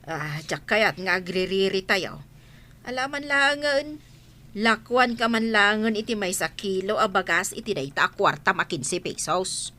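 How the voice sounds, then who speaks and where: A woman's voice: medium pitch, unhurried, sharp tone. A woman playing a reluctant, grumbling village store owner in an Ilocano comedy radio drama, with an Ilocano accent. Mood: grudging.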